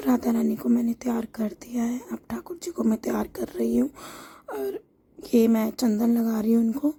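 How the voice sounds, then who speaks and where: Woman home vlogger with a sore-throat hoarseness, chatty step-by-step voice-over, darkly toned. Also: fairly high pitch, normal speed, mellow, faint music behind. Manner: chatty and homely, explains each step as she goes. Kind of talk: casual voice-over narration of a home routine.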